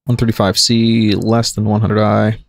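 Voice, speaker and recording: A man's voice: on the low side, little pitch movement, normal speed, gravelly, dry recording, clean studio sound. A man hosting a gaming livestream, thinking aloud in an analytical, jokey way with casual commentary. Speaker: livestream gamer, host.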